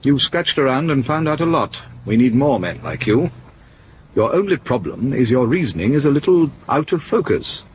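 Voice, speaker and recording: A senior official played by a man in a 1970s radio drama, measured, evasive and condescending, with menace underneath. His intonation is varied, and the recording is a little dull and faintly noisy. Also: mid-range voice, sing-song, close to an everyday voice. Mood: veiled threat. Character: smooth, evasive senior male East German official, quietly menacing.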